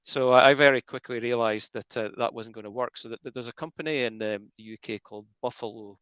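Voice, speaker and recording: A man's voice: mid-range voice, fast, a bit bright, clear, resonant tone, dry recording. A Scottish man chatting at length on a video call as a guest radio amateur, often restarting his sentences. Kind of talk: casual video call chat. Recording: dull, dry video call audio.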